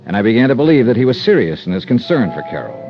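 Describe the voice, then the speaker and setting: Hard-boiled insurance investigator, American, wry and assertive, animated pitch, dull old radio sound. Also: male voice, rapid-fire. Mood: dry narrating. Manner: clipped, dry, assertive. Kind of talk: 1950s radio detective drama, narration and dialogue. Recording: dull vintage radio recording, music behind.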